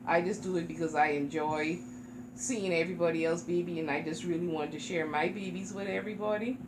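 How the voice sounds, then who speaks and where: Woman, 40s, chatty doll vlogger, reverberant room with household noise. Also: on the low side. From Charleston, South Carolina. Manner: chatty, rambling, informal. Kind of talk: casual chat to camera. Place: home room. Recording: echoey room with background noise.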